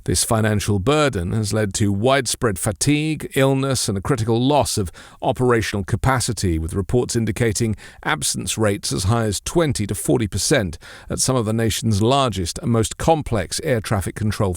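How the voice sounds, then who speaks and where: A man reading scripted news as a presenter, with a formal, measured delivery. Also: lowish voice, no echo, clean studio sound.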